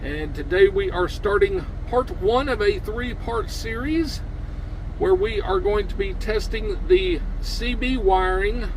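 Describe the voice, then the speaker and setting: A man's voice: high-pitched, slight background noise, low-quality recording. A man hosting a casual gear demonstration vlog from inside a truck cab, with a folksy, informal way of talking. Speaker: video host, CB radio enthusiast.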